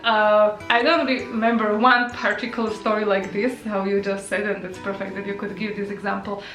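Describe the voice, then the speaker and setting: A woman's voice: medium pitch, level tone, brisk, fairly bright tone, faint music behind. Supportive young woman, echoey room. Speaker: co-host. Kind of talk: casual chat about relationships.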